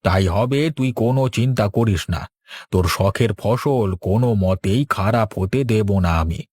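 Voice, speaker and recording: A man voicing a gentle, devoted older village father in a cartoon, tender and reassuring. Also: fairly low pitch, unhurried, slightly rough, dry recording, clean studio sound. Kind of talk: Bengali cartoon dialogue.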